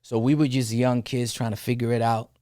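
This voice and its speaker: A man's voice: mid-range voice, level tone, brisk, mellow, dry recording, studio quality. A young man from the Netherlands, a rapper talking casually as a podcast guest, loose and peppered with "you know what I'm saying.". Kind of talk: casual interview conversation.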